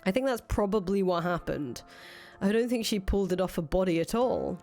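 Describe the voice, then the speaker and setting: A woman's voice: mid-range voice, fairly quick, darkish tone, close to an everyday voice. A woman playing a cynical, foul-mouthed ousted rebel leader in a visual novel, thinking aloud in casual, profane speech. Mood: suspicious, agitated. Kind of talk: acted reading of visual novel dialogue. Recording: music under the voice.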